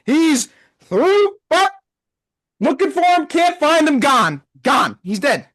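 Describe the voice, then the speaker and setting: A sports podcast co-host, a man whose voice sits very high and has gone hoarse. He rants and repeats himself as he reacts live. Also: very animated, takes it slowly, a bit bright. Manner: ranting, repeating himself in frustration. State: hoarse, losing his voice.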